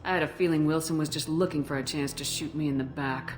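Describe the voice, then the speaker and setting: A tough woman commander in a sci-fi game played by an actress, with a deep voice for a woman. She is blunt, skeptical and challenging, and music plays behind her. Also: steady tone, fast talker, natural voice. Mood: disapproving. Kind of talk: video game voice acting.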